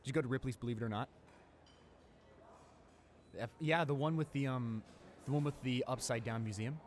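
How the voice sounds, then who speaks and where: A man playing a friendly, awkward, talkative high school student in a casual audio roleplay, speaking in a hesitant, rambling, informal way. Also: fairly quick, natural voice. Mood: chatty, enthusiastic.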